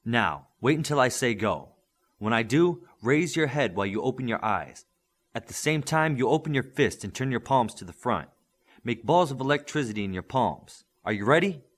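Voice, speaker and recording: Casting: male reader as hero's mentor, instructive and commanding, lively pitch, encouraging. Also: normal speed, lightly acted. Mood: firm, instructive. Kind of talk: audiobook character acting.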